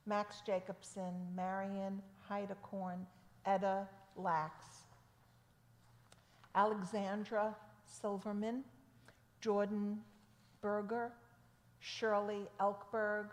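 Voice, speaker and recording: Slow-spoken woman in an echoey sanctuary. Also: mid-range voice. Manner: slow, measured reading of names. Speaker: service leader. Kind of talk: leading a synagogue service. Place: temple sanctuary. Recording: echoey sanctuary with long reverb.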